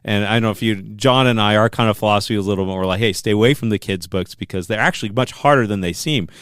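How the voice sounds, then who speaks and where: A man co-hosting a podcast, interviewing a guest in a quick, encouraging way. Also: on the low side, fairly bright tone, clear, resonant tone, dry recording, clean studio sound. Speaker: podcast co-host. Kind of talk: casual interview chat.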